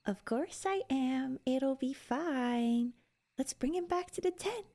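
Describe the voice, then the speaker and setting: Woman doing a curious bookish elf girl for asmr roleplay, mellow n breathy, bit of vocal fry, teasing. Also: high voice, lively intonation, takes it slowly, exaggerated voice. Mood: coaxing, teasing. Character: curious, playful, scholarly young female elf forest warden.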